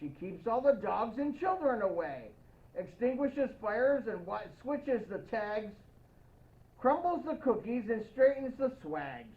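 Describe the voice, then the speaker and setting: A woman reading a children's picture book aloud as Santa, playful and expressive, speaking to young listeners with asides. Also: a little high, unhurried, narrow, tinny audio. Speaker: storybook reader in the persona of Santa.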